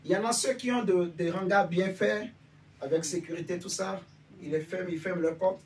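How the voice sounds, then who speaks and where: A man's voice: sits high, steady tone, a little fast. Beninese man preaching casually from afar. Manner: conversational, asks listeners for agreement. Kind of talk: informal sermon with congregation. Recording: distant mic with faint background noise.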